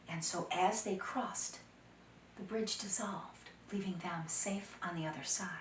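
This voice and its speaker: A woman telling a folktale to children, dramatic, expressive and deliberate, sometimes dropping to hushed phrases. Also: on the low side, a little hiss or hum. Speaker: storyteller. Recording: roomy echo, distant microphone.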